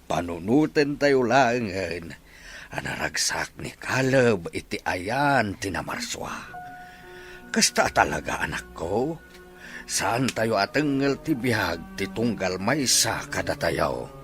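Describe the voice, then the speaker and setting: A man's voice: fairly low pitch, sing-song, slow pace, a bit bright, full character voice. A man playing an elderly, devout and emotional grandfather in an Ilocano radio drama, over music. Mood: consoling, solemn.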